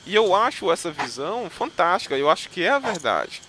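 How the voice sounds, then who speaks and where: A man's voice: high voice, big pitch swings, brisk, noisy background. A man from Northeast Brazil with a Northeastern Brazilian accent, hosting a casual interview and talking animatedly with many fillers and restarts. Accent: Northeastern Brazilian Portuguese. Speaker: podcast host.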